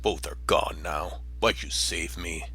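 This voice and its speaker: A man playing a brooding, vengeful young anime fighter in a parody dub, with a gravelly, raspy voice. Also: low-pitched, unhurried, bright, forceful tone, heavily acted. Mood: cold, menacing.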